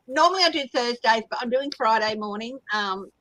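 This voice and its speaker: A woman's voice: a little high, lively intonation, fairly quick, pushed and bright, a little hiss or hum, rough recording. An Australian woman in her fifties, a tarot reader chatting in a group video call, telling stories and laughing often. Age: 50s. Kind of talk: casual group video chat.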